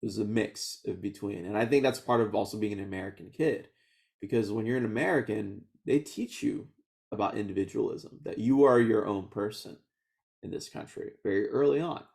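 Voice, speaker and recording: A man in his thirties, born in Houston and raised speaking Vietnamese first, recounts his childhood in a loose, conversational interview. His voice sits a little low with a noticeable gravelly fry, and he laughs easily and backs up to restart his sentences. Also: brisk, a little mellow. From Houston, Texas. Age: 30s. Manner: laughs often, many restarts and fillers. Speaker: oral history interviewee. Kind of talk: relaxed conversational storytelling.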